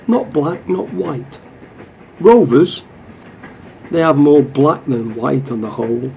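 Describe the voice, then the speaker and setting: A man's voice: a little high, takes it slowly, gravelly, darkish tone. A man playing a wistful, plain-spoken football supporter in a radio play monologue. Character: wistful male football supporter narrating a train ride home. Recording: train noise behind the voice.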